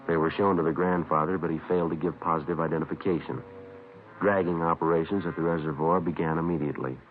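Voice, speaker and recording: A very low-voiced police detective in a vintage radio drama. He speaks in clipped, deadpan, official phrases. The sound is tinny and narrow with faint noise. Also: quick pace. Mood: flat, official. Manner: clipped, matter-of-fact, deadpan. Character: terse, businesslike male police sergeant and narrator. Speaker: lead detective and narrator. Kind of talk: 1950s radio drama acting, clipped procedural narration. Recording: tinny, faintly noisy old radio recording.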